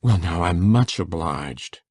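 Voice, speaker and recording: A man playing a shy, flustered, gentle middle-aged country bachelor farmer in an audiobook drama, gravelly and stammering. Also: low voice, lively intonation, slow, no echo, exaggerated voice. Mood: shy, grateful. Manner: stammering, hesitant.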